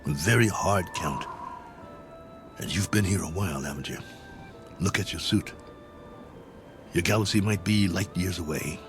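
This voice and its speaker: An actor with an American accent playing a stranded, weary, wry colonel in a 1960s television drama, explaining things in simple, halting phrases. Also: male voice, deep voice, lightly acted. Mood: somber, sympathetic. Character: stranded one-armed colonel, weary, wry, patient then exasperated spaceman. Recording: old TV soundtrack with music underneath.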